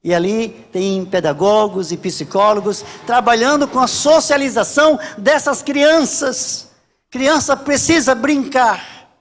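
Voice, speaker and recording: High-pitched Brazilian preacher in a reverberant hall. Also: male voice, fairly bright tone. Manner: emphatic repetition with deliberate pauses. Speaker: Baptist pastor preaching. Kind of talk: sermon. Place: large church hall during a service.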